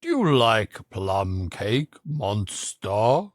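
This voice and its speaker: A gruff, weary lion from a children's storybook, acted by a male narrator. He speaks low and very slowly, with a ponderous drawl. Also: big pitch swings, no room sound, clean studio sound, full character voice. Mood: lazy, gruff.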